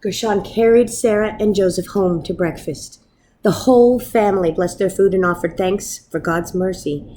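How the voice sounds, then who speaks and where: A woman reading a children's story aloud in an expressive, dramatic manner with measured pauses. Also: medium pitch, a little hiss or hum. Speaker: storybook reader.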